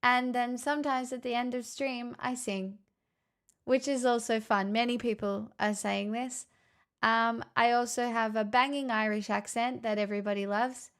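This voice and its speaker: A woman's voice: fairly high pitch, fairly even intonation, normal speed, clear, resonant tone. Playful, teasing young woman streamer. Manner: playful, teasing, self-mocking. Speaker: livestreamer. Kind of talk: casual stream chat with viewers.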